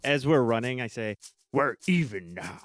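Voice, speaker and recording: A playful man joking through a tabletop game. His pitch swings lively up and down. Music sits clearly in the background. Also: normal speed. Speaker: player.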